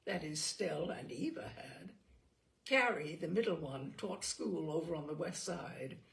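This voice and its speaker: A woman reading a literary short story aloud expressively, with deliberate dramatic emphasis and pauses. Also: deep voice, lively intonation, gravelly. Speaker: storyteller, audiobook reader.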